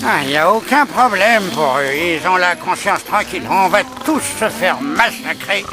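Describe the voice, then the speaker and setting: High, fretful cartoon animal worrier. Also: animated, a little slow. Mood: gloomy fretting. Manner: fretful, stammering, whining. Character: anxious, pessimistic male animal called Doc, fretful worrier. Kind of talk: animated cartoon dub acting. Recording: cartoon soundtrack with music behind.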